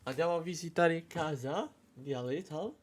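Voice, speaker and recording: A man's voice: fairly high pitch, normal speed. A man with an Italian accent giving casual live gameplay commentary as a streamer. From Italy. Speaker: game streamer. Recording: clear background noise and clicks.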